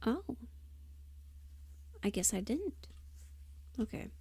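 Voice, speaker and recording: A woman livestreamer chatting casually and thinking aloud in an unhurried, musing way, often trailing into half-whispers. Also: fairly high pitch, big pitch swings, warm tone, breathy edge, dry recording. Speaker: audio roleplay performer and livestream host.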